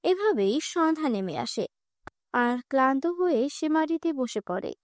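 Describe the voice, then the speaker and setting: A woman's voice: high voice, sing-song, moderate pace, no echo, studio quality. A woman reading scripted narration for a cartoon fairy tale. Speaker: story narrator.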